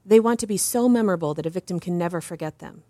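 A woman acting as an assured advocate interviewed in an audio drama, explaining things in a measured, didactic way. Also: on the low side, mellow, dry recording, lightly acted. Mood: composed, instructive. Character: composed, assured female advocate explaining justice reform. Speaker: interview guest.

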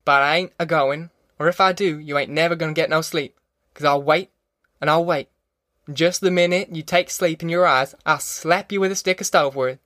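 A man's voice: a little high, normal speed, a bit bright, no room sound, studio quality, voice pushed up, close to an everyday voice. A man voicing a fierce, stubborn middle-aged rural mother with a rural Southern American accent, in an audiobook. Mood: defiant. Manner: folksy dialect, defiant, threatening. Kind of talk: audiobook character acting.

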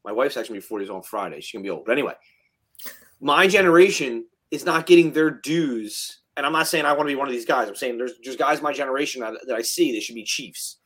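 A man's voice: medium pitch, expressive, quick pace, fairly bright tone. Blunt, profane firefighter in his thirties. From New Jersey. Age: 30s. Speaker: firefighter podcast host. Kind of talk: casual chat.

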